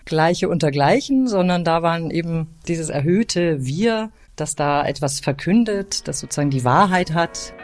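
A woman's voice: deep voice, animated, normal speed. A woman speaking as a voice coach in an interview, explaining in spontaneous sentences. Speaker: speech therapist, radio voice coach.

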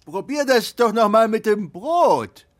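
Casting: gruff, kindly old craftsman for children's radio drama; husky, slow, hugely animated delivery. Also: sits high, a little hiss or hum. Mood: startled, indignant. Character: poor old male puppet maker, gruff but kindly. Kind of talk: German children's radio play acting.

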